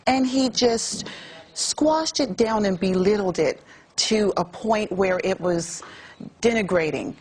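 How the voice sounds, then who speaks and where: A woman speaking as a guest on a studio panel discussion, making her points with emphatic, drawn-out stresses. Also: mid-range voice, breathy edge. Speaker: talk show panel guest.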